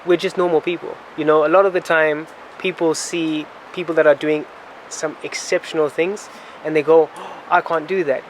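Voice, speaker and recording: A man hosting a podcast, asking relaxed, casual questions. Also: a little high, moderate pace, few pauses, slight background noise, low-quality recording. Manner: relaxed, prompting with short questions. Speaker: podcast host. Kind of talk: casual interview.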